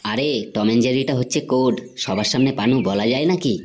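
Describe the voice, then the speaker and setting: A man's voice: mid-range voice, fast, very noisy, lightly acted. A man voicing a cocky, scheming village boy in a Bengali comedy cartoon, brash, bossy and slangy. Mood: sly, friendly.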